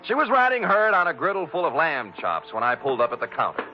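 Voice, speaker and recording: Wry hard-boiled detective, mock-formal narration, animated intonation, on a dull vintage radio recording. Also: male voice, on the high side, quick pace, faint music behind. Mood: wry, observant. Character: wry hard-boiled male private detective, glib and self-mocking.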